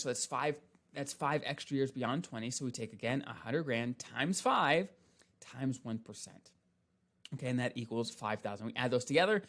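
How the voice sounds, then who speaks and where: Male presenter, mid-pitched, conversational financial explainer; walks through points step by step, checking in often. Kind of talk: casual instructional explanation.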